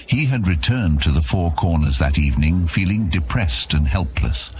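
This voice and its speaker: A man's voice: low-pitched, animated, normal speed, a little mellow, soft background music. A man narrating a fantasy audio serial as a dramatic, scripted story.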